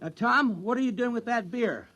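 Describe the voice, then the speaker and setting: A young small-town cop, high and sharp, officious and dim, 1950s radio play. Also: male voice, heavily acted. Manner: officious, fussy, slow on the uptake. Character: earnest, dim young male town policeman. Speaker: town policeman. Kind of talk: 1950s radio drama acting.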